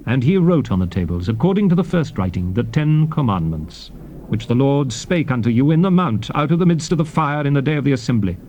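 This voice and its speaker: An actor playing a solemn Hebrew prophet and leader, addressing his people in formal, declamatory scripture oratory with measured phrasing. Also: mid-range voice, a little mellow, lightly acted. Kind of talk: dramatized scripture reading, formal theatrical oratory. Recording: noisy old cassette tape transfer.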